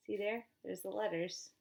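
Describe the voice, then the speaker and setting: A woman reading a children's storybook aloud in an expressive style, with chatty asides to her young listeners. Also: medium pitch, gravelly, a little hiss or hum. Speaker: teacher reading aloud to pupils.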